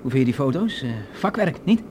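A man's voice: a little high, lively intonation, a little fast, darkish tone, a little hiss or hum, lightly acted. An actor playing a cocky, pushy young man in a radio play, slangy and insinuating, with some hesitation. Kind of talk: Dutch radio drama acting.

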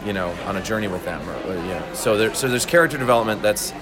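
A man being interviewed as a voice actor, chatting casually and explaining his craft in a halting, thoughtful way. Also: fairly low pitch, lively intonation, a crowd in the background. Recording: convention recording with loud background music.

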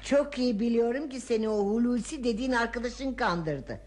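A radio-theatre wife performed by an actress, with a fairly high voice. She is disapproving and scolds with pointed sarcasm. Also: lively intonation, normal speed, darkish tone, lightly acted. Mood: resentful scolding. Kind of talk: Turkish radio theatre acting.

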